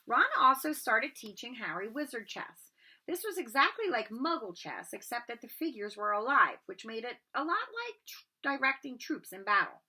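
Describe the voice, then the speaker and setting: Bright-voiced woman reading a story aloud. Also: fairly high pitch, very animated, moderate pace. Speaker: read-aloud host. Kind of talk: expressive storybook read-aloud narration.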